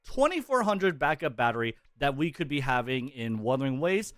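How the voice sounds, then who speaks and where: Guy narrating his video essay, calm and explainy, dry close mic. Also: medium pitch, normal speed, dry recording. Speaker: video creator. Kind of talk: scripted video commentary.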